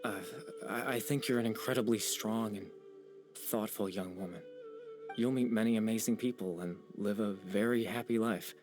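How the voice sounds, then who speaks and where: A low male voice over background music, an actor in a game role. He is a gentle, wistful young man with courteous, hesitant manners. He speaks softly, like someone resigned to fading away. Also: normal speed, lightly acted. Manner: soft-spoken, polite, wistful, hesitant. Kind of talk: video game voice acting.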